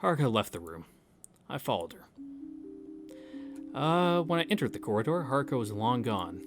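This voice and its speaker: A man's voice: medium pitch, very animated, normal speed, music in the background. A man reading a visual novel aloud as a streamer, casually, with irreverent and crude wisecracks. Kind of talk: casual read-through with wisecracking commentary.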